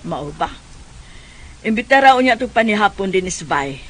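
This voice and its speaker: Lively, gossipy older relative. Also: female voice, medium pitch, brisk. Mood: concerned, casual. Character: inquisitive, gossipy older female relative of a young woman. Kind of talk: radio drama acting.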